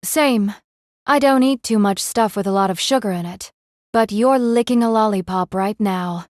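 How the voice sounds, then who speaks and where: A woman's voice: mid-range voice, moderate pace, a little mellow, no room sound, studio quality, close to an everyday voice. A woman playing a curious, questioning young anime character in scripted audio drama dialogue. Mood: casual, teasing.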